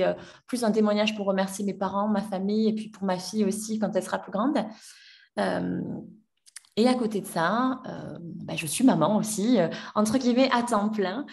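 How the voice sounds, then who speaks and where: A young woman in her twenties tells her story as a podcast guest, pausing often and restarting her sentences. Her voice sits at a middle pitch. She sounds a little away from the microphone. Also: steady tone, a little mellow. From France. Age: 20s. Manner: hesitant, many fillers and restarts. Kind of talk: personal story in a podcast interview. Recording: voice a bit distant from the mic.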